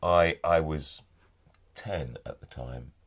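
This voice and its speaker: A middle-aged man with a very low voice talks in a studio chat. He is thoughtful and halting, and he often repeats a word as he finds his way. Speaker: audiobook narrator and guest. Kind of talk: casual interview chat. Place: recording studio.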